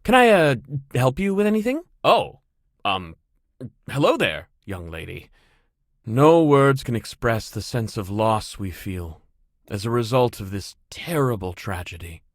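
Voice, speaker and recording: A man's voice: mid-range voice, sing-song, measured pace, dry recording, clean studio sound. A man playing an older school headmaster in a game, formal, hesitant and awkwardly polite. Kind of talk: game character lines.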